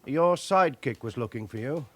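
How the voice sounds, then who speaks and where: An actor playing a no-nonsense chief inspector in a naturalistic radio drama, speaking with dry, matter-of-fact authority. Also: male voice, medium pitch, animated, normal speed, close to an everyday voice. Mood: impatient, dry. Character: pressured, no-nonsense male police chief inspector.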